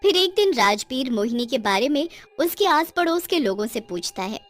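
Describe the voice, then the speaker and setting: A woman's voice: high voice, very animated, moderate pace, soft background music. A woman reading dramatic scripted narration for a cartoon horror story.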